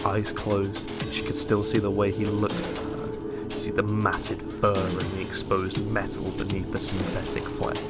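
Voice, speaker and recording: A man giving a dramatic, deliberate audiobook narration of a suspenseful horror story. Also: fairly low pitch, completely flat delivery. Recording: music under the voice.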